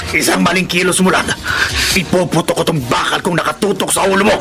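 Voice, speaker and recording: A man's voice: high-pitched, steady tone, fast, gravelly. An actor playing a brash, ruthless male burglar in a Filipino radio drama, taunting and threatening his victims. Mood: menacing. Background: background music.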